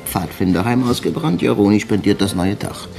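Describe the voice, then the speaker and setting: A man's voice: fairly low pitch, lively intonation, fairly quick, gravelly, dark tone, soft background music. A man acting a dry, brooding senior detective in a naturalistic radio crime drama, musing wryly and asking clipped questions. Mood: sardonic.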